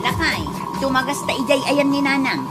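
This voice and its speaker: A woman's voice: high-pitched, steady tone, fairly quick, over loud music, close to an everyday voice. A woman playing a snooty, boastful, newly promoted supervisor in an Ilocano comedy radio drama, with an Ilocano accent. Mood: insistent. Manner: haughty, fussy.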